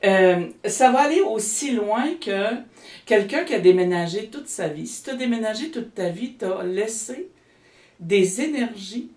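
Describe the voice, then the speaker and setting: A woman's voice: mid-range voice, animated, measured pace, darkish tone, roomy sound, far from the mic. A woman with a Québécois accent, a guest energy healer in a casual interview, talking in a rambling way with many restarts and fillers. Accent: Québécois French.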